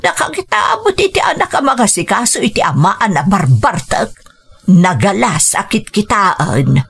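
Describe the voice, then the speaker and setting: A woman's voice: mid-range voice, sing-song, normal speed, gravelly, fairly bright tone, breathy edge, full character voice. A woman playing a scolding, long-suffering elderly grandmother in a radio drama, with an exasperated, chiding manner.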